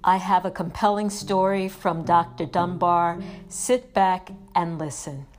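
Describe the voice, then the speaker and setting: Woman host, lowish voice, measured, inviting interview manner. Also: fairly even intonation, faint music behind. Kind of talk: interview hosting.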